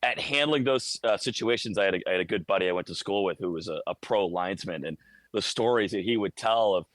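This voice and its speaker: A man's voice: low voice, quick pace, a bit bright, dry recording. A man talking casually as a hockey radio announcer, explaining things conversationally in a live game-day report. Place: high broadcast booth inside a hockey arena.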